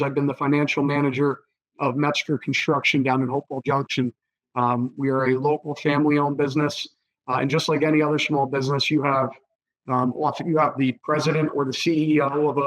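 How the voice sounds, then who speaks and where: A hoarse man, a political candidate giving earnest interview answers, with many fillers and repeated words. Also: mid-range voice, a bit bright, low-quality recording. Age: 30s.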